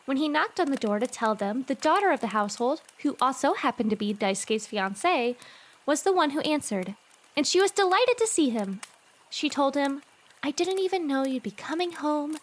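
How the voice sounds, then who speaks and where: A woman acting a sweet, easily exasperated teenage girl in a fan audio drama, casual and girlish. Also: high-pitched.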